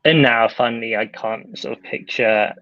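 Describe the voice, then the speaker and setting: A man's voice: mid-range voice, normal speed, a bit bright, no room sound, highs cut off. A young man, a film director, answering interview questions in a casual, hesitant way, with lots of fillers and restarts, over a video call.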